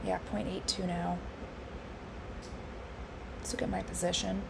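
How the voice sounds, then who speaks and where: A woman live-streaming her futures trading, congested and hoarse from allergies, thinking aloud with lots of fillers and restarts. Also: fairly low pitch, little pitch movement, measured pace, background noise. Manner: musing, with frequent fillers and restarts.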